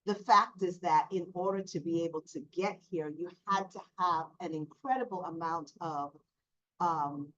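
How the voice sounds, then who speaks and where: Woman panelist from Jamaica, measured and thoughtful, lowish voice, dull lo-fi sound. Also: fairly bright tone. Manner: reflective, measured, with pauses and restarts. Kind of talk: casual panel discussion. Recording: dull lo-fi audio.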